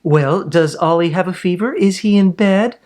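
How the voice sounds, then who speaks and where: A man's voice: sits high, moderate pace, a little mellow, voice pushed up, natural voice. A man reading a storybook, voicing a flustered, fussy female school nurse with questioning, emphatic delivery.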